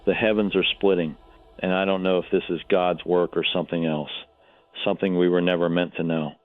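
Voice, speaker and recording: An actor playing a devout, awestruck radio caller who speaks with solemn, prayerful fear of judgment. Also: male voice, low voice, flat delivery, brisk, no room sound, close to an everyday voice. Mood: awed foreboding. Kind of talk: radio drama acting, phone-in. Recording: tinny phone line over faint music.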